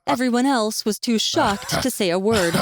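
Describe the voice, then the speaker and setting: A woman's voice: a little high, moderate pace, no echo. A woman reading scripted narration of a fantasy story as an audiobook narrator.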